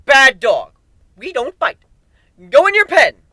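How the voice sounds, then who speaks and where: High, manic childlike griffin warrior. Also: male voice, huge pitch swings, slow, pushed and bright, full character voice. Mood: mock scolding. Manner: sing-song baby talk. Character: gleeful, childishly mad young griffin warrior taunting monsters. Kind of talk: dramatic fantasy story reading.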